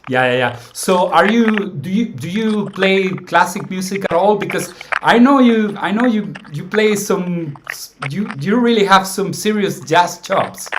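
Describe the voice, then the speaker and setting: Halting man hosting an interview. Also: high voice, lively intonation, noisy background. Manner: halting, repeats words while framing questions. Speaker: interview host. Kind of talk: casual interview chat.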